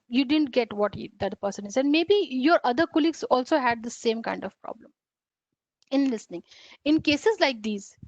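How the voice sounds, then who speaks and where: Indian woman, higher voice, earnest online lecturer, muffled dry call audio. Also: dry recording. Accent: Indian English. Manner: earnest, repetitive, many fillers and restarts. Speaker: faculty development trainer.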